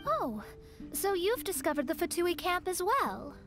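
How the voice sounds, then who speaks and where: A woman's voice: very high voice, very animated, a little slow, heavily acted. A woman playing a proud, refined young astrologist in a video game, self-assured and precise. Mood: confident. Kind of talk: video game character acting. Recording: music behind.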